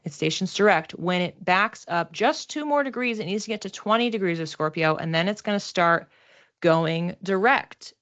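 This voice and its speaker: Female instructor, on the lower side, informal explanatory delivery for a live class, slightly lo-fi sound. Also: a bit bright. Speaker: astrology class teacher. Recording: slightly dull, lo-fi audio.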